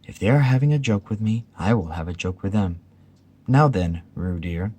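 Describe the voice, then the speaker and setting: A man voicing a motherly storybook kangaroo with a brisk, soothing, gently severe manner. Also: fairly low pitch, animated, husky. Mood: brisk, cheerful. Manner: cheerful, firm, coaxing.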